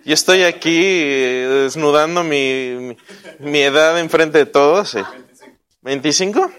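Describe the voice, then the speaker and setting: A man's voice: on the high side, a little slow, a bit bright. A man, teaching a casual Bible class, joking and quizzing his listeners. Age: 40s. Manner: joking and chatty, asks the audience questions.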